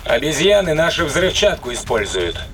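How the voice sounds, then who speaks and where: Curt spaceship captain, higher-pitched. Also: male voice, sing-song, moderate pace, a bit bright, faint music behind. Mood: irritated. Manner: curt, commanding. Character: terse, tough male spaceship captain under attack. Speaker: actor in an audio drama. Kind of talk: acted sci-fi radio drama dialogue.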